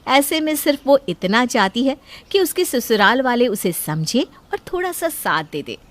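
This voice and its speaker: A woman's voice: fairly high pitch, very animated, measured pace. A woman narrator telling a family story in scripted narration.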